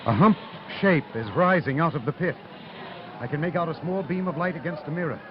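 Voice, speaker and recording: Man playing a high-pitched, terrified 1930s radio reporter. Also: a little fast, lightly acted. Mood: horrified. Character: terrified on-the-spot radio reporter witnessing an invasion. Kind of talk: 1930s radio eyewitness commentary acting. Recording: muffled old broadcast, outdoor noise.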